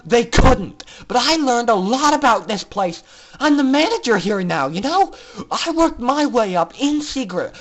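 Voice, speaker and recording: A story reader plays a bitter female pegasus villain full of hatred. The delivery is loud and forceful, screaming with fury at a raised pitch, as in a dramatic fan-fiction reading. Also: low-quality recording.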